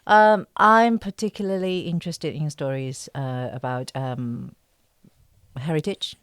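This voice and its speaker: A woman from China with a Chinese accent, chatting in an interview and often pausing to search for words. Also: low-pitched, smooth, no room sound, studio quality. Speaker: interview guest, actress and writer. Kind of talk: casual interview chat.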